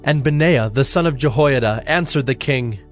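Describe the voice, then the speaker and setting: Male narrator, mid-pitched, measured storytelling for scripture drama, prominent score underneath. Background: prominent music.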